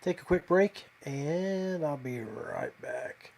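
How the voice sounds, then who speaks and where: Higher-voiced man, folksy podcast host. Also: animated, slow, gravelly, a little mellow. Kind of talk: casual live fishing talk to viewers.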